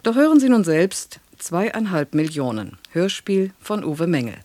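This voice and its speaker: A radio announcer of unclear sex giving a scripted, measured introduction to a radio play. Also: medium pitch, big pitch swings, darkish tone.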